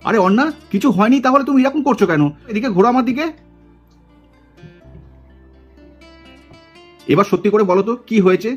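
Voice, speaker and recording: Woman doing a fast husky young man doll. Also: a little high, highs cut off, soft background music. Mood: reassuring, coaxing. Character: devoted reassuring young man doll, boyfriend and father. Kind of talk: puppet doll drama.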